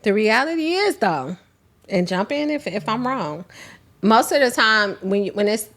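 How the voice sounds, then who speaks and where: A woman hosting a casual chat, talking bluntly and pressing others with questions. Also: mid-range voice.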